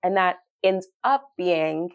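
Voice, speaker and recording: A woman's voice: on the low side, lively intonation, slow pace, smooth. A woman co-hosting a podcast, giving a casual, thoughtful TV review in a hesitant way peppered with "like". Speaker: podcast co-host.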